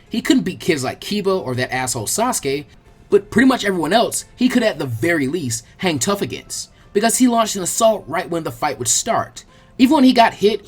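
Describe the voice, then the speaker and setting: A man reading a story aloud as an expressive, colloquial audiobook narrator, with a husky voice. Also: high voice. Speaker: fan fiction audiobook narrator.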